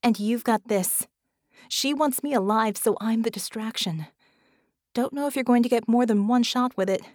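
A woman's voice: fairly high pitch, normal speed, darkish tone, no echo, clean studio sound, voice pushed up, lightly acted. Woman acting a tough, self-assured female fighter. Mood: determined. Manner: urgent, firm, through clenched teeth.

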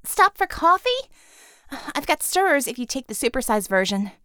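A woman's voice: high-pitched, big pitch swings, normal speed, pushed and bright, clear, resonant tone, dry recording, clean studio sound, heavily acted. A woman playing a wisecracking, bold female superhero squad leader with a sassy, punchy delivery. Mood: teasing.

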